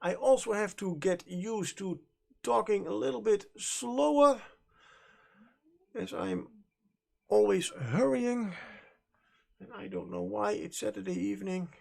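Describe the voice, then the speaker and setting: Dutch accent, native Dutch speaker, heavy vocal fry, slow pace, hesitant and rambling, self-correcting, casual livestream talk. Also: medium pitch, a little mellow. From Netherlands. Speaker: livestream host and miniature painter. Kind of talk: casual livestream chat while painting.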